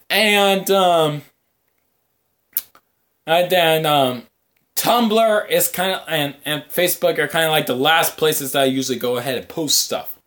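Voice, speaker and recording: Slow-talking, bright-voiced man hosting a podcast. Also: fairly high pitch. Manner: halting, hesitant delivery with long filler pauses. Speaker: podcast host. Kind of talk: casual rambling podcast talk to viewers.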